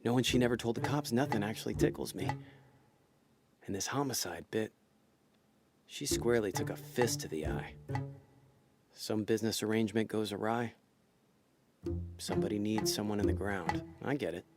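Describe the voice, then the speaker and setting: Man acting an easygoing con man, lowish voice, dry wisecracks, noir drama, music behind. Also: normal speed, long pauses, natural voice. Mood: brooding. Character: laid-back male con man, wry and streetwise.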